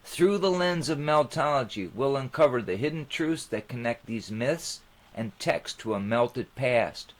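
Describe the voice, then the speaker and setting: Male host, informal monologue, halting and rambling delivery, medium pitch. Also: lively intonation. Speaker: channel host.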